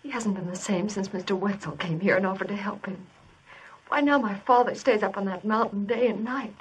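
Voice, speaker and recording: An actress playing an anxious, earnest young woman in a vintage radio drama, her voice husky and breathy. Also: medium pitch, a little fast. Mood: worried, earnest. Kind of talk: 1950s radio drama acting. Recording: distant vintage radio recording.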